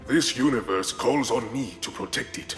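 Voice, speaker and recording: A man's voice: mid-range voice, normal speed, natural voice. An actor voicing a solemn, burdened warrior king in a video game with grave, stately delivery. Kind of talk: video game hero voice lines. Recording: music behind.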